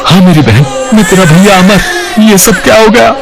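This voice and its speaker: A man playing a protective, stern but loving elder brother in a melodramatic cartoon story, with an emphatic, husky delivery. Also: high voice, normal speed, over music. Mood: shocked concern. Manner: emotional, emphatic.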